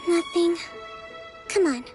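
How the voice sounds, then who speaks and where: A woman playing a composed young priestess leader in a video game, speaking in a measured, formal, articulate way. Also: extremely high pitch. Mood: weary. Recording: faint music behind the voice.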